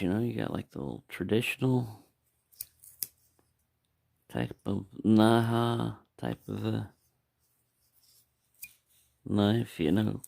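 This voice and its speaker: A man's voice: lowish voice, gravelly, dry recording, studio quality. A man giving a casual, unscripted product review, rambling and correcting himself as he goes. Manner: rambling, self-correcting, mumbling asides. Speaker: knife reviewer.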